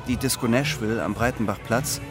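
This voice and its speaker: A man's voice: medium pitch, fairly quick, music in the background, close to an everyday voice. An actor playing an uneasy male double agent in a crime radio drama, with an understated, guarded delivery. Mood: measured narration. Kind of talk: German crime radio drama, narration and dialogue.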